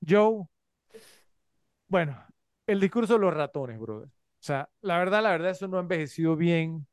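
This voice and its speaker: Male podcast co-host, high clear voice, rambling and filler-heavy. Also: normal speed, dry recording, clean studio sound. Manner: filler-heavy, rambling with frequent tag questions. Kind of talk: casual movie review chat.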